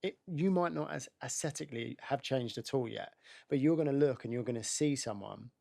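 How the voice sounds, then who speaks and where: A male interviewer with a gravelly, creaky voice and a middle pitch. He keeps agreeing with his guest and speaks in a relaxed, reflective way. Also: brisk. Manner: affirming, lots of "yeah". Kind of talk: casual sit-down interview.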